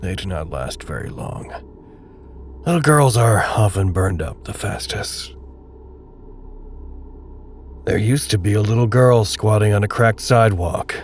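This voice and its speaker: A man narrating a dark audio drama in a measured, literary, grave and detached manner. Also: fairly low pitch, lively intonation, a little hiss or hum, lightly acted. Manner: measured, literary, solemn storytelling.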